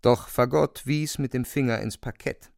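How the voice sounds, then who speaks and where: A man's voice: medium pitch, fairly even intonation, normal speed, vocal fry, darkish tone, dry recording, studio quality. A man narrating a literary radio drama in a dramatic storytelling style.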